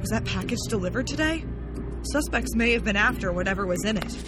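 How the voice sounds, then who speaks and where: An actress playing a brisk, commanding lead investigator in a science fiction audio drama. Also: female voice, medium pitch, lively intonation, music in the background, close to an everyday voice. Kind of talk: sci-fi audio drama acting.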